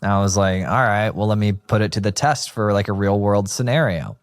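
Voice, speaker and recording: A male podcast host with a fairly low voice tells an anecdote in a loose, chatty way. He leans heavily on "like" as he talks. The sound is close and dry. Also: a little fast, dry recording. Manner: chatty storytelling, heavy use of "like". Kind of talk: casual podcast chat.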